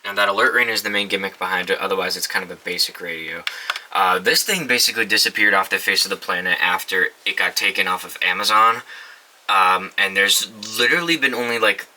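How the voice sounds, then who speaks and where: A young man talks through his radio collection in an offhand, loose way, swearing casually and stumbling through restarts. His voice is low-set yet very bright and forceful, with a faint hiss in the background. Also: fairly quick, rough recording. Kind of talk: casual show-and-tell review.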